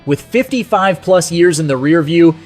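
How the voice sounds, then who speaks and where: Nostalgic male countdown narrator, higher-pitched voice. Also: expressive, normal speed. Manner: nostalgic, colloquial storytelling. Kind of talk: scripted music countdown narration. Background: soft rock music behind the voice.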